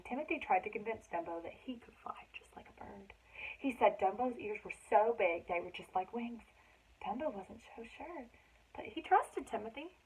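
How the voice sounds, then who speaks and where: A woman's voice: on the high side, creaky, a little mellow, breathy edge. A woman reading a children's story aloud expressively, with dramatic emphasis for young listeners. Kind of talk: expressive read-aloud narration with chatty asides. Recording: thin, phone-like band-limited sound.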